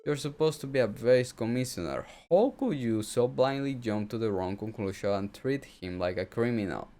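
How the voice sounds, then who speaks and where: A man's voice: medium pitch, normal speed, mellow, lightly acted. A man voicing a stern, fed-up game character, a wife who scolds in formal, commanding sentences. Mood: stern, scolding. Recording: music behind the voice.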